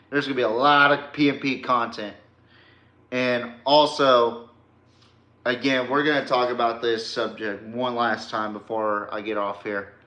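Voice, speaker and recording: A man from Texas hosts a sports podcast in a roomy, echoing space. His voice is bright and forceful, and he takes his time as he talks. The delivery is informal and slangy, with a folksy touch. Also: medium pitch. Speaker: podcast host. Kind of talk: casual sports talk to viewers. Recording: roomy, echoey sound.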